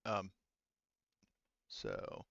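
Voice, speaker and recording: A man working as game master in an online tabletop roleplaying session, thinking aloud in halting phrases as he narrates and checks rules on a headset mic. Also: a bit bright, dry recording, lo-fi sound. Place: online voice call. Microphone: gaming headset.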